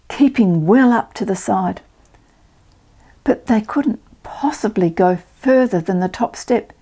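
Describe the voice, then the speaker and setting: Older woman storyteller, mellow and creaky. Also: a little high. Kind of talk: reading a children's storybook aloud.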